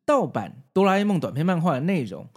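A man's voice: fairly high pitch, big pitch swings, a little fast, husky. A man from Taiwan with a Taiwanese Mandarin accent hosting a podcast in prepared narration. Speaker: podcast host.

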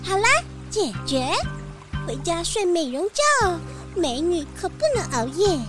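A woman's voice: very high-pitched, animated, measured pace, loud music behind the voice. A woman voicing a vain actress who brags about her looks in a children's audio drama. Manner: self-admiring, sing-song. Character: vain actress who thinks herself beautiful.

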